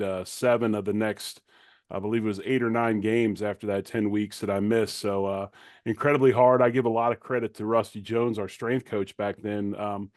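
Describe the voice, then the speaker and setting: A man's voice: fairly low pitch, a little mellow, dry recording, studio quality. A middle-aged man, a former player turned commentator, giving conversational sports analysis in an informal, plain-spoken way.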